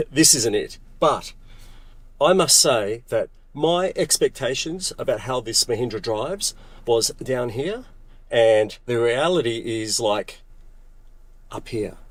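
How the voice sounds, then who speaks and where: A middle-aged man reviewing a car in a conversational, emphatic way with dry humour. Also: medium pitch. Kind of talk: car review presented to camera.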